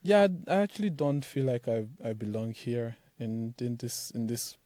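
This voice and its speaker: A young man from Nigeria answers interview questions in a loose, animated way, with many fillers. His voice is mellow and middle in pitch. The sound is dry and close. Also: normal speed, no room sound. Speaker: startup founder, guest. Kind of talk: casual interview talk.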